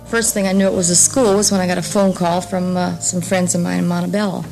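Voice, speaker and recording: A woman's voice: lowish voice, level tone, fast talker, gravelly, a little mellow, soft background music, low-quality recording. A woman giving a plain-spoken, hesitant recollection in a 1950s radio interview. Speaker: interviewed parent. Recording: 1950s radio documentary tape.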